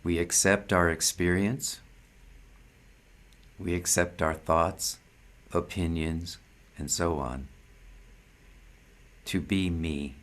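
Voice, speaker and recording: Very slow, deep-voiced male spiritual teacher. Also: steady tone, a bit bright. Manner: measured, deliberate, short phrases with long pauses. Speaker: spiritual teacher leading satsang. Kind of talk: slow meditative spiritual talk.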